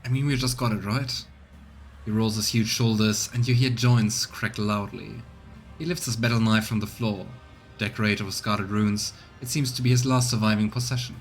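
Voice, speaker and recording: A man's voice: fairly low pitch, fairly even intonation, moderate pace. A man streaming a video game, commenting casually and reading the game's narration aloud. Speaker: let's play streamer. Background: game music.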